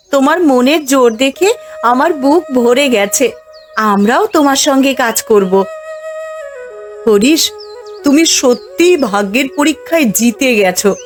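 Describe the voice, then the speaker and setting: Caring cartoon village wife, acted by a woman, fairly high voice, gently advising, music behind. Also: lively intonation, slow, pauses often. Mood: encouraging. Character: caring, cautious village wife in a fairy tale.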